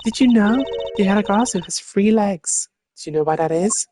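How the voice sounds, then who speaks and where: A woman's voice: medium pitch, a little fast, over music, natural voice. A woman voicing a gloating female master thief and killer in a video game, theatrical and mocking. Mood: smug taunting.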